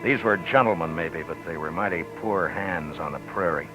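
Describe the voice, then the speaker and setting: An actor playing a steady, commanding male frontier marshal who also narrates, in a 1950s radio drama, calm and authoritative. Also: sits low, moderate pace, close to an everyday voice, low-quality recording. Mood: narrating. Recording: old radio broadcast with music bed.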